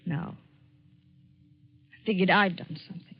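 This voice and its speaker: Husky, low-voiced jilted young woman. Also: steady tone, unhurried. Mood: wounded, despairing. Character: jilted, despairing young woman, grimly resolute. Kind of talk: 1940s radio suspense acting. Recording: dull 1940s radio broadcast.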